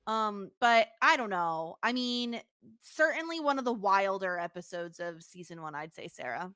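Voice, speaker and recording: A woman's voice: mid-range voice, animated, moderate pace, fairly bright tone, clear, resonant tone. A woman co-hosting a casual podcast chat, talking in an emphatic, slangy way. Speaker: podcast co-host. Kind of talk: casual chat about a TV episode.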